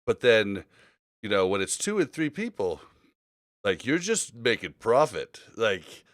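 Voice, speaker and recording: A man's voice: fairly low pitch, big pitch swings, a little slow, no room sound, clean studio sound. A man hosting a casual gaming podcast, talking in a rambling, informal way. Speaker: podcast host.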